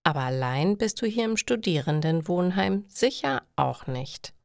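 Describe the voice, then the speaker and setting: Female narrator as supportive middle-aged dad, storybook dialogue, gentle and unhurried, dry close recording. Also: low-pitched, expressive, gravelly, no echo, full character voice. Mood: reassuring. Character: middle-aged father, kindly, supportive, reassuring.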